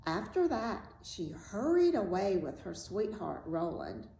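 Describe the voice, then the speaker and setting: A woman reading a fairy tale aloud as host, in a deliberate, dramatic storytelling style. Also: mid-range voice, big pitch swings, vocal fry, dark tone. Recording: roomy echo, faint background noise.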